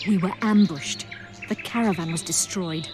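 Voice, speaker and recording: A woman's voice: on the low side, moderate pace, natural voice. A woman playing a guarded, shaken survivor in a fantasy video game, speaking earnestly and somewhat formally. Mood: grim recollection. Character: guarded, shaken female survivor and protector of royal children. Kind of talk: dramatic video game acting. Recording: music behind the voice.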